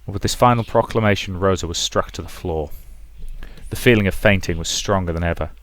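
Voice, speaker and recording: A man's voice: low voice, a little fast, a bit bright, dry recording. A man hosting a let's play, reading visual novel narration and adding casual commentary.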